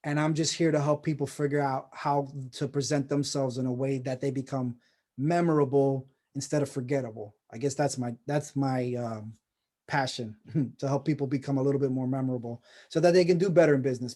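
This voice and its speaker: A man's voice: mid-range voice, fairly even intonation, a little fast, warm tone. A man hosting a casual video call session, rambling and encouraging with many restarts. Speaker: host of a personal branding course.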